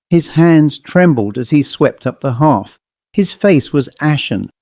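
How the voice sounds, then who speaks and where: A man's voice: mid-range voice, mellow, no room sound. A man reading a scripted ghost story as an audiobook narrator.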